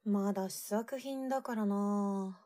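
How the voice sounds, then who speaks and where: A woman's voice: mid-range voice, takes it slowly, warm tone, close to an everyday voice. A woman playing a blunt, easygoing mother who speaks in a brusque, matter-of-fact way in an audio drama. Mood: nonchalant. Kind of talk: Japanese audio drama acting.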